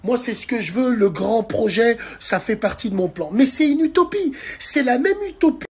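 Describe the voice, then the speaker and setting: Husky, heated man ranting in the dark. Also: very high-pitched, expressive, normal speed. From France. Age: 40s. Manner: heated, crude and insulting, addresses viewers directly. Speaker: social media commentator. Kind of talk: angry political rant to camera. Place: dark bedroom at night. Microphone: phone held at arm's length.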